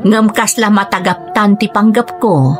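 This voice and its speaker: A woman's voice: mid-range voice, a little slow, close to an everyday voice. A woman playing a young, dutiful, burdened Filipina daughter working overseas in an Ilocano radio drama, earnest and plaintive, with an Ilocano accent. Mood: troubled, confiding. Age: young adult. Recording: music bed under the voice. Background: music.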